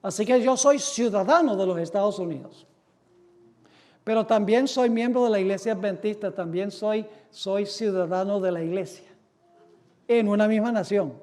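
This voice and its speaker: Brief: male pastor from the US, strikingly high voice, emphatic sermon delivery with rhetorical questions. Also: a little mellow. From United States. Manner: emphatic, asks rhetorical questions and answers them; reads quotations aloud slowly. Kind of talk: sermon lecture.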